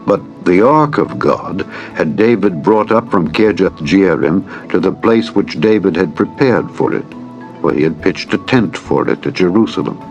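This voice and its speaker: A man with a fairly deep voice narrates scripture for a dramatized audiobook. His delivery is solemn, measured and reverent, with formal phrasing. Soft music plays behind him. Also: lively intonation. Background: background music.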